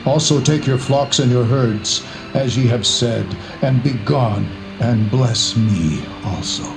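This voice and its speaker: A man's voice: medium pitch, slow pace, vocal fry, rough recording. An actor playing a stricken king of Egypt, declaiming urgent orders in a dramatised scripture reading. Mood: anguished, urgent. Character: Pharaoh, stricken Egyptian king dismissing captives. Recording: music behind the voice.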